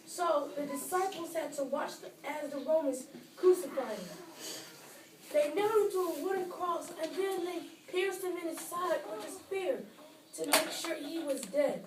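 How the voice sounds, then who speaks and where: A discouraged, slightly vain boy puppet performed by a woman in a children's show. The voice is high and plaintive. It carries from a distance through an echoey room with faint noise. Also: moderate pace. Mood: earnest. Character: doubtful, discouraged boy puppet named Carl, a little vain.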